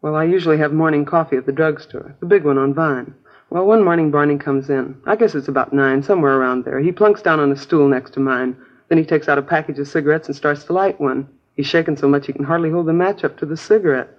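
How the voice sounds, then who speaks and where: A woman's voice: deep voice, quick pace, lightly acted. An actress playing a chatty, naive American girlfriend in a 1950s radio crime drama, casual, rambling and a little vague. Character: chatty, naive, easygoing working-class girlfriend of a crook. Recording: dull old radio broadcast recording.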